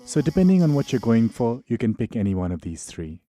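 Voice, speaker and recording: A man narrating a software tutorial in an informal, explanatory way. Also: medium pitch, big pitch swings, fairly quick, soft background music.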